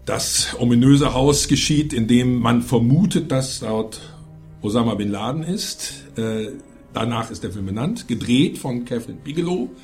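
A man's voice: mid-range voice, normal speed, darkish tone, soft background music. A man hosting a film review show, talking conversationally with opinionated asides. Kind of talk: casual film review commentary.

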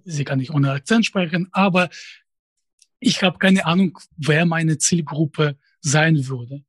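This is a man in his late thirties who speaks with a Russian accent. His voice sits a little high and sounds husky. He talks haltingly and frankly, and the recording is dry and close. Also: fairly bright tone, no echo. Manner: hesitant, restarts phrases, direct. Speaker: professor and YouTuber, interview guest. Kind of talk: conversational interview answers.

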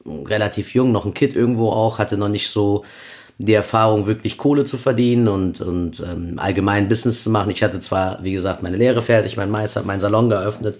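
A man in his thirties, born in Peru, talking as a guest on a casual podcast, rambling with many fillers. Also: lowish voice. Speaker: guest, event promoter.

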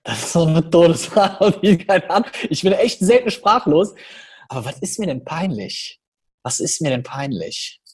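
A man with a Cologne accent talks on a podcast with a husky, slightly rough voice that sits a touch higher than most men's. He tells his stories in an animated way and throws in quick asides. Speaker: podcast guest, public speaker. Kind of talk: casual podcast conversation.

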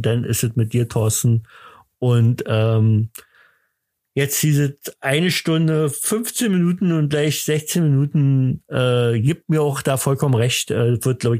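A man hosting a podcast in a casual, rambling chat, talking haltingly with joking self-importance. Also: on the high side, a little mellow, no echo, clean studio sound. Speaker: podcast host.

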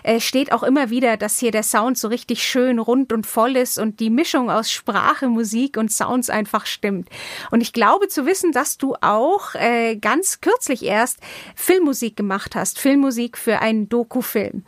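A woman's voice: fairly high pitch, expressive, a little slow, dry recording, clean studio sound. A woman hosting an interview podcast in a conversational way.

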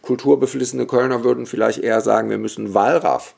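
A man with a gravelly, dark voice. He talks as a guest and historian in a relaxed, storytelling way, vivid and opinionated, with many small asides. His tone stays mellow. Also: mid-range voice, fairly quick. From Germany, Bergisch Gladbach area. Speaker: guest, historian and cemetery expert.

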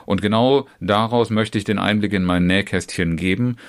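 Deep-voiced German trainer explaining directly. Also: male voice, normal speed. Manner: speaks in long chained clauses with frequent restarts and fillers; addresses the listener directly as "du". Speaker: trainer and trainer educator. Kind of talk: informal instructional talk on online seminars.

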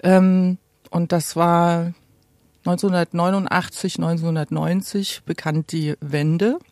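A woman in her late forties from eastern Germany speaks in a conversational, storytelling way. Her voice has plenty of fry and creak. The sound is dry and free of room echo. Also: fairly low pitch, flat delivery, a little slow, a little mellow. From Gera, eastern Germany. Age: late 40s. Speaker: podcast guest. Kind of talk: conversational storytelling about own life.

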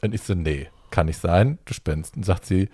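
A young adult man telling a personal story in casual chat, acting out the dialogue with lively energy. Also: deep voice, normal speed, no echo.

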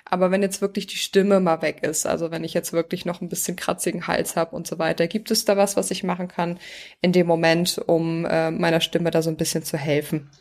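A woman hosts a podcast in a relaxed, chatty way. She talks with a slightly low pitch and leans on casual fillers as she frames her questions. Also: brisk. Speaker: podcast host. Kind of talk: podcast interview.

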